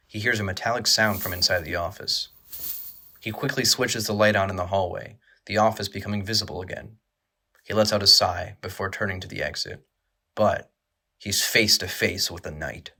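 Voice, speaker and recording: A man narrating a horror audio drama with measured, dramatic, scripted storytelling. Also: fairly low pitch, bright, forceful tone, slight background noise.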